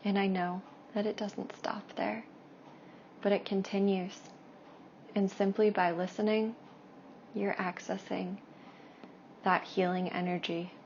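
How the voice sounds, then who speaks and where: Slow, hushed woman guiding a meditation. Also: mid-range voice, level tone. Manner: hushed, soothing, drawn-out phrases with long pauses. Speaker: meditation guide and Reiki practitioner. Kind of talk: guided meditation narration. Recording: dull lo-fi with faint background noise.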